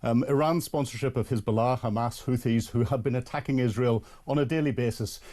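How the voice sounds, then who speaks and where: A man with a warm, mellow tone speaks as a studio analyst on a talk radio show. He works through his points analytically, with frequent ums and restarts. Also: mid-range voice, fairly quick. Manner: plain, analytical, many fillers. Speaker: studio guest, security analyst.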